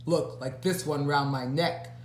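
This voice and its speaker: Woman doing a posh, proud, slow-talking troll. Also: sits low, steady tone, echoey room, slight background noise. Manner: posh, puffed-up. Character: proud, posh male troll, secretly kind. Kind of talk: storybook character voice.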